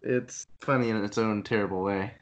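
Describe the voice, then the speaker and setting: A man's voice: lowish voice, steady tone, normal speed, dull audio. A man making teasing, self-mocking jokes in a casual podcast chat.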